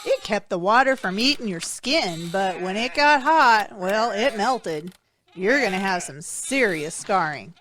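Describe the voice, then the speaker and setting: A woman's voice: medium pitch, lively intonation, measured pace, fairly bright tone. A woman playing a kindly, folksy rural woman who shelters survivors in an audio drama, speaking in a soothing, motherly manner. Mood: gentle concern.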